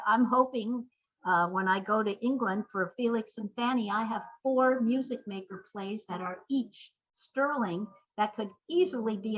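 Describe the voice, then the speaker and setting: An older woman talking as an interview guest, telling stories conversationally in halting, reflective phrases. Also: mid-range voice, fairly bright tone, highs cut off. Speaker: playwright and screenwriter guest.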